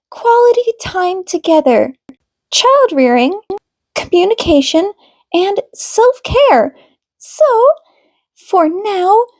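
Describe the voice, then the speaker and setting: A woman voicing a composed, professional female couples therapist in an audiobook, speaking in a formal, deliberate, probing way. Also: extremely high pitch, a little mellow, no room sound, pitched above the performer's own voice, full character voice. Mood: measured, professional. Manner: formal, deliberate, clinical phrasing. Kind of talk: audiobook character dialogue.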